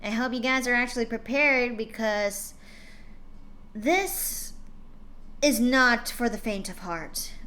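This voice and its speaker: A woman hosts a live fan-fiction reading stream, talking casually and stumbling over her words with plenty of ums and restarts. Her voice sits a little high and sounds very bright. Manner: chatty, many fillers and restarts.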